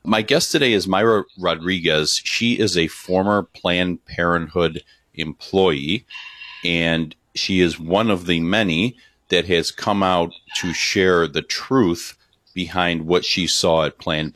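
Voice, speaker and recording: A man's voice: low-pitched, takes it slowly, a bit bright, no echo. A man hosting a podcast interview, upbeat and earnest as he welcomes listeners and introduces his guest. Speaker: podcast co-host. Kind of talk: hosted interview.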